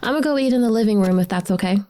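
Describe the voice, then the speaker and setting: A woman's voice: on the high side, racing, warm tone, natural voice. A woman playing a cheeky, persuasive teenage daughter in a conversational audio drama. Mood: coaxing. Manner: teasing, wordy, coaxing.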